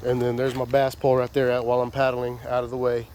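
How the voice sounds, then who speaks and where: A man's voice: mid-range voice, monotone, quick pace, darkish tone, a little hiss or hum. A man with a congested, stuffy voice, casually walking through his fishing gear outdoors in a rambling, folksy way. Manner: rambling, folksy, many fillers. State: congested from a sinus infection. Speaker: fishing vlogger.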